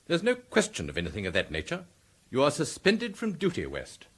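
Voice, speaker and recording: A stern, husky police chief. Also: male voice, on the high side, huge pitch swings, fairly quick, heavily acted. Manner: cold, clipped and imperious. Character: stern senior male police chief, haughty. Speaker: police commissioner figure. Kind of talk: radio drama acting.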